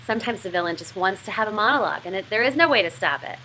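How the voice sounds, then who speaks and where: A woman's voice: mid-range voice, expressive, racing, bright, forceful tone, slight background noise, low-quality recording. A woman giving an explanatory lesson as a writing instructor, talking through a literary passage.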